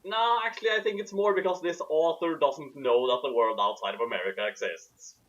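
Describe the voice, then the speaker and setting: A man's voice: on the high side, a little fast, fairly bright tone. A man narrating a story and breaking off for sarcastic commentary, on a lo-fi recording. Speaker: narrator and co-host. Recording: dull, lo-fi voice recording.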